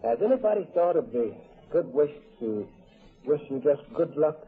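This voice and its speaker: A man with a very rough, unclear voice conducts an informal interview. He feels his way earnestly through his questions, pausing often. The sound is a thin, tinny vintage radio recording. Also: fairly high pitch, sing-song. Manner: hesitant, searching, earnest.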